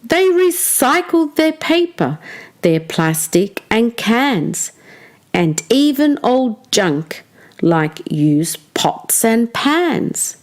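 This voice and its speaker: Slow, animated woman teacher at storytime. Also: fairly high pitch. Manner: encouraging, addresses children directly with pauses for answers. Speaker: teacher reading a storybook to children. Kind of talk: children's storytime lesson, expressive read-aloud.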